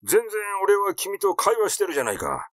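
A man's voice: high voice, huge pitch swings, brisk, a bit bright, dry recording, clean studio sound, voice pushed up, exaggerated voice. A man voicing a cynical, blunt and grumbling male character in a mystery audiobook. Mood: irritated.

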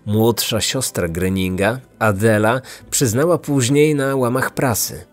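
A man narrates a true-crime podcast from a prepared script. His voice sits at a middling pitch, with faint music underneath. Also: steady tone, unhurried.

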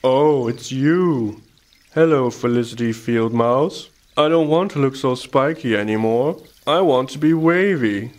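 A man voicing a young, earnest male hedgehog in a children's storybook, excitable and easily annoyed, with wistful, drawn-out emphasis. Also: medium pitch, pitched above the performer's own voice. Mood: eager.

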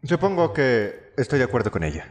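A man's voice: medium pitch, sing-song, fairly quick, lightly acted. A man voicing a flippant young corporate schemer in a Latin American Spanish game dub. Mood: guarded. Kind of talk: fan dub of a video game.

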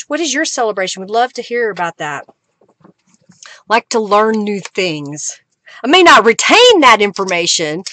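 A woman's voice: fairly high pitch, fairly bright tone, dry recording. A middle-aged woman from Oklahoma, chatting casually and giggling as she hosts a live crafting stream at her desk. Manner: chatty, giggly, thanks viewers, hums while working. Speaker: crafting livestream host.

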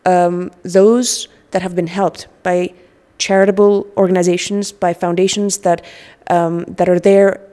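A woman in her forties speaks in a fairly low voice. She talks earnestly as an interview guest, often repeating words as she searches for phrasing, into a handheld mic. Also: flat delivery, a little slow, dark tone, long reverb tail. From Afghanistan. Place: indoor lounge with sofas. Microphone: handheld microphone.

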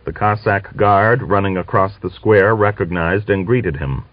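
Man, literary narration, low-pitched, dry sound, muffled lo-fi audio. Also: measured pace, no echo. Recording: dull lo-fi audiobook recording.

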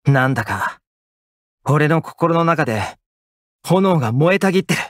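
An actor playing a friendly, caring young housekeeper in an anime-style game, speaking casually and expressively with gentle attentiveness. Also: male voice, a little high, lively intonation, moderate pace, dry recording, clean studio sound, close to an everyday voice. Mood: earnest. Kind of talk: Japanese anime game character voice lines.

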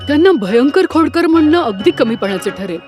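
A woman voicing a concerned, apologetic and supportive schoolteacher in an animated fairy tale, speaking carefully. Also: sits high, a little fast, over music. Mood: uneasy warning.

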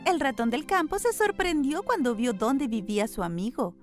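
A woman narrating a scripted children's story with an expressive storyteller's delivery. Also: sits high, normal speed, over music.

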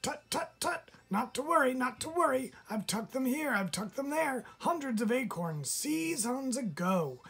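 A woman voicing a fussy chattering squirrel in a storybook reading, tut-tutting in a husky voice. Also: high voice, big pitch swings, unhurried, hardly any pauses, a bit bright, pitched above the performer's own voice, exaggerated voice. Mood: fussy, reassuring.

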